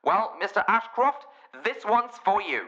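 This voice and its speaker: Man playing a bright, self-important radio presenter. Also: sits high, expressive, unhurried, lo-fi sound, slight background noise, full character voice. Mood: earnest, upbeat. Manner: polished, grandly announcing.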